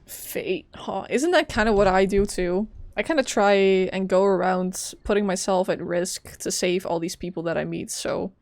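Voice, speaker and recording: A woman's voice: medium pitch, normal speed, hardly any pauses, darkish tone, no echo. A woman game streamer giving casual commentary, informally reacting aloud as she plays. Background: game music.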